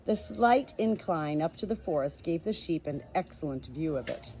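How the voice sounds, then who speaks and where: A woman reading a storybook aloud in an expressive amateur style, with casual asides, recorded on a phone at home. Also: low voice, moderate pace, soft background music, poor audio. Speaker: amateur book reader, channel host.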